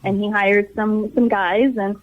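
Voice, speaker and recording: A thin, phone-quality line carries an American woman of middle age with a medium-pitched voice. She speaks as an interview guest, telling personal stories in an animated, conversational way. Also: measured pace. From Toledo, Ohio, United States. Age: middle-aged. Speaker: interview guest, theater educator and director. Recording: narrow, tinny phone-like line.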